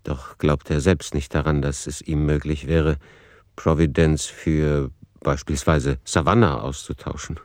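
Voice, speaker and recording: Male radio play narrator: deep register, factual, analytical, no room sound. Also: flat delivery, measured pace, no echo, clean studio sound.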